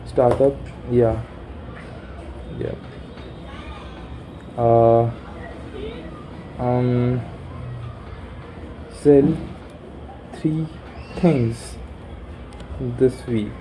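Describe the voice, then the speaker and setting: A young man with a deep voice rambling through his plans aloud, halting and swearing casually, recorded on his phone while sitting in a room corner. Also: steady tone, warm tone, slight background noise, rough recording. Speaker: vlogger. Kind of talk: rambling self-talk planning.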